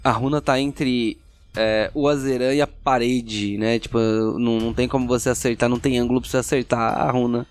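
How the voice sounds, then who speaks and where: A man's voice: mid-range voice, fairly even intonation, brisk, clean tone. A man with a Brazilian accent, narrating a tabletop role-playing audio drama as game master.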